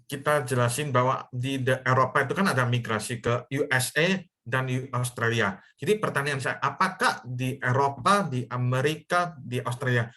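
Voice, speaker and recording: A man's voice: mid-range voice, normal speed, hardly any pauses, pushed and bright. Young guy tutoring over a video call, plain mid voice, explains step by step. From Medan, North Sumatra, Indonesia. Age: young adult. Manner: explains in simple steps, checks in with students. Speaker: online English tutor. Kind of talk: live virtual class teaching.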